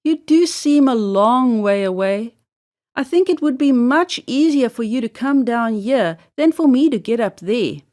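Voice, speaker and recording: A woman's voice: a little high, expressive, unhurried, a little mellow. A woman voicing an eager, naive little boy mouse from a storybook, earnest, polite and insistent. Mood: pleading.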